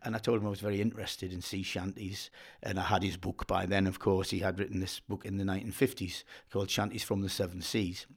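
A man's voice: deep voice, flat delivery, fast talker, few pauses, husky. An older man speaks. He is a folk singer recalling his life in an interview, circling back on words as he goes. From Northeast England. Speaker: folk singer of sea shanties. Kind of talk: reminiscing in an interview.

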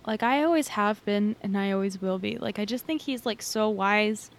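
A woman's voice: mid-range voice, moderate pace, slight background noise. A woman hosting a podcast, rambling enthusiastically and swearing casually, a bit throaty. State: throaty from coughing. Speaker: podcast host.

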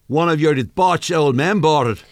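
A man's voice: on the high side, moderate pace, no room sound, lightly acted. A man acting a henpecked adult son in a comedy audio drama, prim and indignant.

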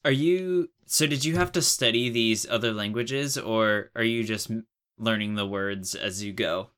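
Young man, interviewer, slightly low pitch, smooth clear tone, casual, tentative halting phrasing. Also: fairly bright tone. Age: young adult.

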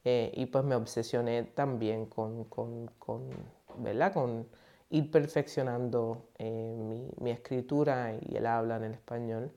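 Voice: medium pitch, steady tone, measured pace, mellow. A poet, speaking in a hesitant, thoughtful way with many fillers and restarts in an interview answer. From United States and Puerto Rico.

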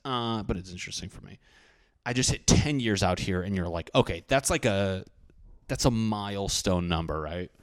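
A man's voice: fairly low pitch, a bit bright, no room sound. Profane rambling man in his thirties. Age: 30s. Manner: rambling, profane, self-deprecating. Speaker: podcast host. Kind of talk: casual solo podcast monologue.

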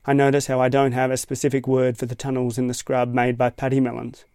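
A man from Tasmania with an Australian accent, reading a reflective scripted essay in a measured, thoughtful way. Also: medium pitch, clear, resonant tone, dry recording, clean studio sound. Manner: measured, thoughtful, even-toned. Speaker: essay narrator.

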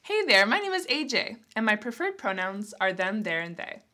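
Woman as a breezy, bright nonbinary character. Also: medium pitch, big pitch swings, moderate pace. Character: breezy nonbinary Egyptian lipstick collector.

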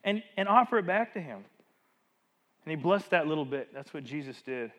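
High-voiced man preaching to a congregation. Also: animated, normal speed, fairly bright tone. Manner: conversational preaching, rhetorical questions to congregation; voice rises and falls sharply for emphasis. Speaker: pastor. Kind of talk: sermon. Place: church. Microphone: microphone.

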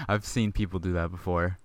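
Joking young male co-host, lowish voice. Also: sing-song, normal speed. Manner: joking, laughs a lot. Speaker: podcast co-host. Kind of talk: casual chat. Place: home, low chair at a table.

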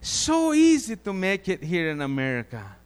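A man from Guatemala with a Guatemalan Spanish accent, giving an informal testimony sermon, halting and repeating words as he goes. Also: high-pitched, wildly swooping pitch, clean tone. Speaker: preacher.

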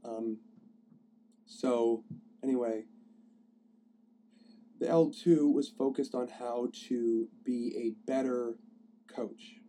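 Hoarse man, very dark tone, rambling podcast host, faint room hum. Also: medium pitch, steady tone, slow, long pauses. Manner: rambling, many fillers and restarts. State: hoarse from a cold. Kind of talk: casual solo podcast talk. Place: live stream on a phone.

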